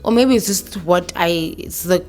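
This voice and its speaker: A woman's voice: fairly low pitch, normal speed, a little mellow, faint music behind. A South African woman in her thirties talking candidly to camera in a casual vlog, slipping in local words and swearing now and then. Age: 30s. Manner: candid, code-switching, swears, laughs. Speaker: vlogger.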